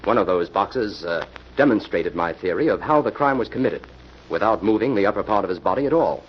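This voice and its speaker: An actor playing a composed, confident detective, explaining things wryly in 1940s radio style. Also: male voice, on the low side, animated, fairly quick, breathy edge. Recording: muffled, hissy old-time radio recording.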